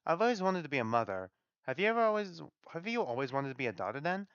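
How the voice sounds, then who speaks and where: A man acts out a formal, motherly godmother of the dead from a story. The voice is smooth and soothing, with expressive pitch and a dry sound. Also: fast talker, dry recording, lo-fi sound. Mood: tender, soothing. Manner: courteous, soothing, ceremonious. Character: gentle, formal, motherly female keeper of the dead. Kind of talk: dramatic read-aloud of a story.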